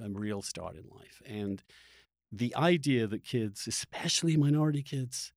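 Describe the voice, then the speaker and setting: A man's voice: mid-range voice, a little mellow, no room sound, studio quality. A man hosting a conversational interview, earnest and emphatic, often repeating words and restarting as he gets worked up. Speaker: podcast host interviewing a guest.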